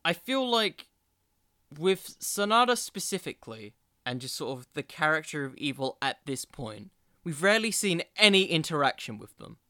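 A man hosting a solo podcast, giving conversational commentary in a musing, halting way with emphatic bursts. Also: on the high side, big pitch swings, pushed and bright, no echo, studio quality. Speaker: podcast host.

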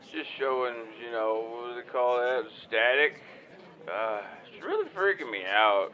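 A man's voice: medium pitch, big pitch swings, normal speed, bright, forceful tone. A man playing an anxious, clueless hotline caller in an audio drama, hesitant, flustered and meandering over a muffled line. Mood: nervous, rambling. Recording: dull, muffled phone-call sound.

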